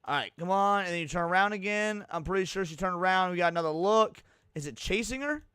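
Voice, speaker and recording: A man giving casual, slangy live reaction commentary as a streamer, excitable and prone to swearing. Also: high voice, fast talker, clear, resonant tone, dry recording, clean studio sound. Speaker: streamer reacting to horror videos.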